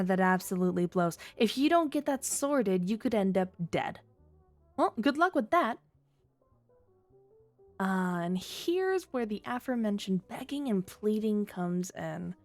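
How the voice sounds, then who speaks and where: Casting: actress as sly female criminal rogue for ASMR roleplay. Flirty, sardonic, drawling, mid-range pitch, music underneath. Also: a little mellow. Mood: mocking, amused. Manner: teasing, sardonic, drawn-out drawl.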